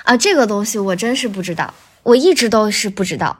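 A woman's voice: medium pitch, animated, a little fast, breathy edge. A woman talking as a guest voice actor in a casual podcast chat, with a tentative, self-qualifying way of speaking that often repeats phrases.

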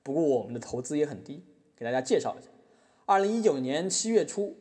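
Male, ironic mock-humble artist as acted for courtroom satire, higher voice with big pitch swings. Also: darkish tone. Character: wry, mock-apologetic male artist defending himself in court. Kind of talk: scripted satirical monologue in a podcast drama.

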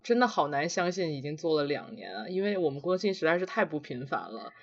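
Podcast co-host, mid-range pitch, casual conversation, reflective, self-deprecating, slightly dull audio. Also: very animated, fast talker. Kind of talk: casual two-person podcast chat.

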